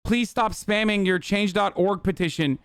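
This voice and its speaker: A man talks political commentary on his stream, bluntly and with plenty of profanity and filler words. His voice sits somewhat high and sounds smooth and resonant. The sound is dry and close, with no room around it. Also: normal speed, dry recording. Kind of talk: livestream commentary reacting to clips.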